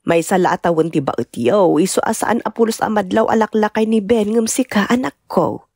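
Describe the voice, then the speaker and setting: A woman with an Ilocano accent playing a caring older mother with a husky voice in a radio-style drama. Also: mid-range voice, a little fast, a little mellow, dry recording, studio quality, close to an everyday voice. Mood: fond, coaxing. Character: caring older mother, nudging her grown son to marry.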